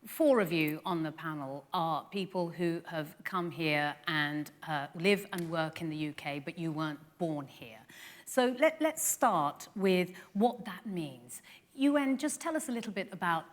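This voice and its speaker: Lowish-voiced woman hosting in a reverberant hall. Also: measured pace. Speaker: debate host. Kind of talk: moderating a live panel discussion. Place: radio theatre stage.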